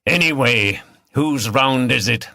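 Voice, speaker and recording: Guy doing a gruff talking pub regular, grumbly and blunt, forceful bright voice, audiobook dialogue, dry sound. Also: medium pitch, slow pace, dry recording, voice pushed up, full character voice. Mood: blunt banter. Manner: blunt, bantering. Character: gruff grumbling working man, pub regular with northern English dialect.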